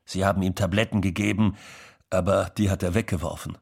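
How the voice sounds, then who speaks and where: An actor playing a sober witness in a scripted radio drama, with a gravelly, husky voice. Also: male voice, low-pitched, fairly even intonation, a little fast, full character voice. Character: sober witness recounting a star's breakdown.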